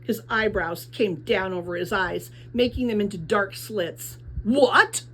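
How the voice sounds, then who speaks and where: A woman reading a children's novel aloud as expressive scripted narration. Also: mid-range voice, measured pace, a little hiss or hum, low-quality recording. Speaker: audiobook reader.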